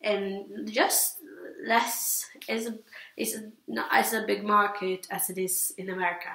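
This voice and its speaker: A woman's voice: mid-range voice, steady tone, unhurried, fairly bright tone. A young woman from Sweden, a non-native English speaker, chatting casually about her opinions in a hesitant, rambling, repetitive way. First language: Swedish. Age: teens to young adult. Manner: hesitant, rambling, repeats herself. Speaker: book reviewer. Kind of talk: casual vlog chat. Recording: echoey, roomy sound.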